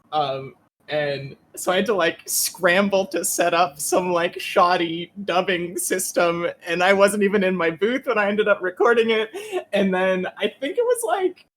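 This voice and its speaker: A voice actor telling anecdotes in a casual panel chat, halting, giggly and self-deprecating. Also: mid-range voice.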